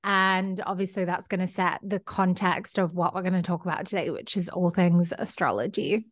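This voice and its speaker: A woman's voice: fairly low pitch, steady tone, moderate pace. A young adult woman from Australia hosting a podcast, enthusiastic and chatty in a casual interview. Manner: enthusiastic, chatty, many "like" fillers. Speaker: podcast host.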